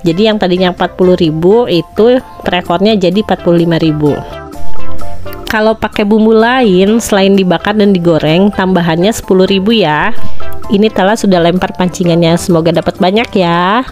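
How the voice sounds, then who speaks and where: A woman's voice: on the low side, normal speed, soft background music. Chatty woman touring a fishing cafe. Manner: chatty, cheerful, excited cheers when fish are caught. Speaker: family vlogger. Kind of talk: casual tour narration to viewers. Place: outdoor fishing pond cafe.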